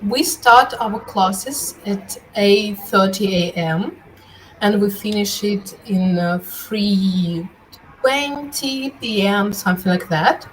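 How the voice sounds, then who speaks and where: A woman's voice: medium pitch, slow pace, a little hiss or hum, poor audio. A teenage girl from Moscow, a native Russian speaker, answering interview questions in English with frequent hesitations. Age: teens. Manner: hesitant, many uh fillers. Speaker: student guest.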